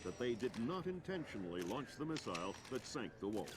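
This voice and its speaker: An actor playing a polished television news anchor with smooth broadcast delivery. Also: male voice, mid-range voice, sing-song, fairly quick, noisy background, lightly acted. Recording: game cutscene with music underneath.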